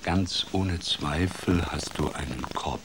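A man voicing a wise, stern female owl who lectures with measured authority in a children's radio play. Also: rumbling low, background noise. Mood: stern, calming.

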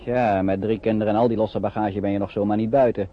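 An actor as a chatty, sympathetic narrator telling a radio play story in colloquial Dutch style. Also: male voice, lowish voice, fairly even intonation, fast talker, natural voice. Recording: dull, noisy old radio recording.

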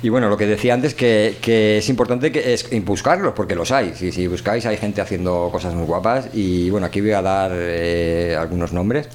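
A man's voice: on the low side, normal speed, few pauses, slight background noise. A man hosting a radio show, talking informally and colloquially, often checking in with short tag questions. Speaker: radio show host and voice performer. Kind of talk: informal spoken radio talk.

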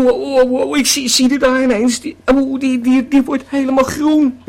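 Guy doing a stuttery tourist on a bad trip, slow and kinda husky, radio play. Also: sits high, full character voice. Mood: dazed, alarmed. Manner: stammering, repeating words. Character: rambling male tourist tripping on a pill. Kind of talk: radio drama monologue.

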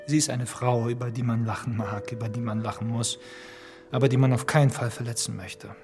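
A man plays a young gentleman suitor in a radio drama, gallant and sentimental. He speaks courteously at a middling pitch, with hesitant pauses, over clearly audible music. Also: fairly even intonation, lightly acted. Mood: hesitant, confiding.

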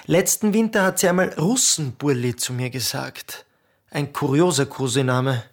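A performer playing a patient adult son visiting his mother in a radio play, speaking gently and calmly. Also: extremely low pitch, moderate pace.

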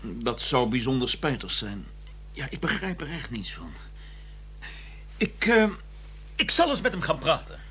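Donnish, formal academic, animated pitch. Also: male voice, measured pace, pauses often, slight background noise. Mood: concerned. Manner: polite, formal, hesitant but insistent. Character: courteous, persistent Cambridge academic, donnish and easily affronted. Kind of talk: radio drama acting with first-person narration.

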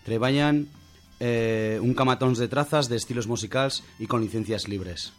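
A man's voice: mid-range voice, normal speed, faint music behind. A man presenting semi-scripted radio listings, going through them hesitantly and sometimes repeating words. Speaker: radio show contributor presenting music and festival picks.

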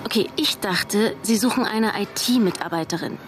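An actress playing a spirited young tech graduate in a radio play, colloquial and quick to protest. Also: female voice, mid-range voice, background noise, lightly acted. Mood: flustered, defensive. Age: young adult.

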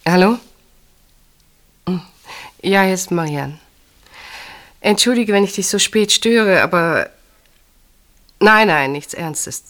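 A woman's voice: fairly low pitch, lively intonation, takes it slowly, long pauses, fairly bright tone. An actress playing a guarded, troubled woman in a German radio play, speaking in a hesitant, evasive dramatic manner. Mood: worried.

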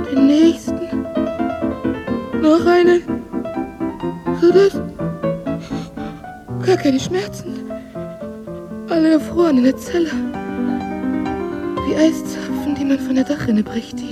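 A tormented woman pianist in a radio play. Her high voice moves slowly and haltingly, breaking into fragments and repetitions. She sounds dazed and haunted, with prominent piano music behind her. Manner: halting, fragmented, repeating words. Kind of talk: German radio drama acting.

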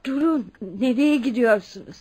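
A husky, kindly older lady. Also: sits high, animated, moderate pace, mellow. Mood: warm hospitable. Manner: motherly, addresses others as her children. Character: kindly, insistent older well-off lady living alone. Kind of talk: Turkish radio drama acting.

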